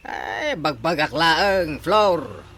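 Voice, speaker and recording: A man's voice: on the high side, big pitch swings, very slow, background noise, exaggerated voice. A male actor playing a fussy, exasperated old rural father in a radio drama, scolding and exclaiming with an Ilocano accent. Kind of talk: Filipino radio drama acting.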